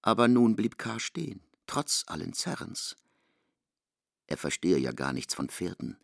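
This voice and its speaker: A male narrator tells a literary story in a deep, creaky voice. His delivery is dramatic radio-play narration with lively pitch movement and a dry sound. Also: a little slow, no room sound.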